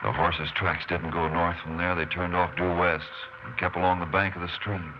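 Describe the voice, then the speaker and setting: Guy acting determined texas ranger, deep terse voice, muffled 1950s radio drama. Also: little pitch movement, normal speed, very noisy, lightly acted. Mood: grim, determined. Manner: terse, matter-of-fact. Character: steady, determined Texas Ranger tracking a killer. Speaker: lead actor and narrator.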